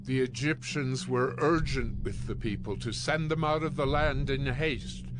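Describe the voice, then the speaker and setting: A man narrating scripture from a script in a solemn, measured way. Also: mid-range voice, background noise.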